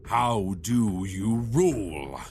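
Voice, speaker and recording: A man's voice: fairly low pitch, big pitch swings, very slow, a little mellow, faint music behind, exaggerated voice. An actor playing a stern male dragon-kin lord in a fantasy audio drama, grave, formal and imperious. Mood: stern, judging.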